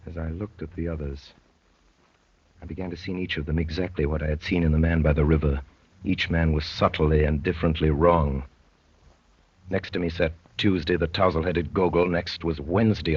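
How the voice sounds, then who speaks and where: An actor playing a tense undercover spy, narrating ominously in the style of 1930s radio drama. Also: male voice, rumbling low, slightly rough. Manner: dramatic, literary, ominous storytelling. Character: tense undercover spy recounting a sinister gathering. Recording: muffled vintage 1930s radio recording.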